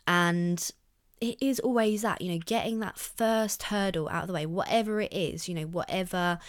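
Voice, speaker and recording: A young woman hosting her own podcast in casual chat, upbeat and chatty, her voice croaky and husky from being ill. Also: fairly low pitch, normal speed. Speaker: podcast host.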